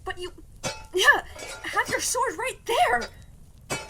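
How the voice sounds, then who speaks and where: A woman's voice: very high-pitched, animated, drawn-out words, music in the background, full character voice. A woman playing a defiant, impatient young princess in a scripted audio comedy, blunt and exasperated. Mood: baffled, irritated.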